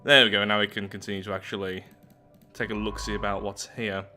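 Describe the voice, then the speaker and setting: A man hosting a gaming video, chattily giving casual roleplay playthrough commentary in an animated, self-deprecating way. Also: on the low side, fairly quick. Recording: music behind the voice. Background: background music.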